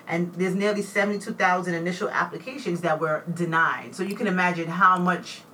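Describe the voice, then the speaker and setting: A woman co-host reading out facts and figures in a steady, matter-of-fact tone, her voice fairly low for a woman. She sits back from the microphone, and faint room ambience hangs behind her. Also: a little fast, distant mic, slight background noise, poor audio.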